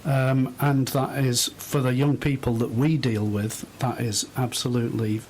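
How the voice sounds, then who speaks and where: Middle-aged male interview guest, measured and unhurried, pausing to think, faint rumbly noise behind. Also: flat delivery, darkish tone. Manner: hesitant, thoughtful, halting phrases. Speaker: interview guest, volunteer host. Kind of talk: unscripted radio interview conversation.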